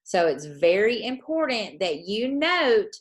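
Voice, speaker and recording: A woman with a drawl, coaching a class through a trading lesson. She speaks at a very slow, deliberate pace, spells things out letter by letter and repeats them for note-taking. Her pitch sits in a middle range. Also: very animated. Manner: slow, repetitive dictation, checking in with "okay?". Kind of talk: live teaching lesson.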